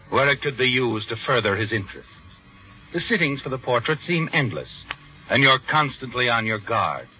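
A man's voice: mid-range voice, pushed and bright, a little hiss or hum. A man narrating a radio drama in the second person, in a confiding, insinuating tone. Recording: muffled old radio broadcast with faint music.

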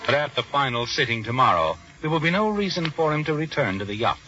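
A male narrator speaks straight to the listener in the second person, with a confiding, insinuating delivery and a mid-pitched, bright voice. The broadcast sounds dull and muffled, with faint music underneath. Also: very animated, fast talker.

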